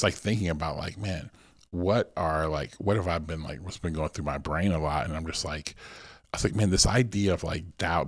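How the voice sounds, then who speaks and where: Podcast co-host, man in his forties, very low voice, rambling casual delivery, dry close mic. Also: no room sound. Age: 40s. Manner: loose and rambling, many "like"s and restarts. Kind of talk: casual conversational chat.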